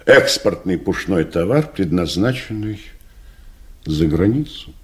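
An actor playing a formal, officious deputy minister in a radio play, speaking in stiff bureaucratic phrases. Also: male voice, low-pitched, lively intonation, unhurried. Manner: formal, bureaucratic, flustered when refused. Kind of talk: archival radio play acting.

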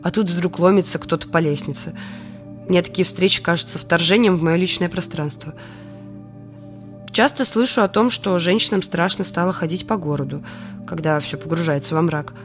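A woman's voice: fairly low pitch, normal speed, faint music behind, natural voice. An actress playing a weary, wry woman living through wartime blackouts, in an intimate audio drama diary monologue. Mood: defiant, brooding.

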